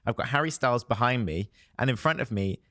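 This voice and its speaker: A hoarse young man, a showbiz reporter telling festival stories in a chatty way and laughing often. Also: medium pitch, lively intonation, quick pace, fairly bright tone, no echo, clean studio sound. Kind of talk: casual podcast chat.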